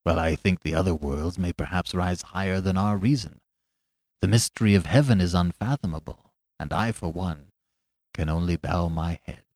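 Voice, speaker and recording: A man voicing a big master thief who talks in a lower voice, quietly menacing and then loudly mocking. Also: moderate pace, no room sound. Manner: low and menacing, turning boisterous and mocking. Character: great robber, a big bold master thief.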